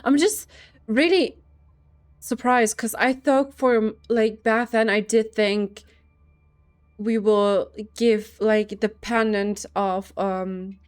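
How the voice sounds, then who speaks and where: A woman's voice: on the high side, fairly even intonation, slow pace, clean tone. A woman streamer giving casual gameplay reactions, musing aloud and often trailing off mid-thought. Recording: faint game music behind.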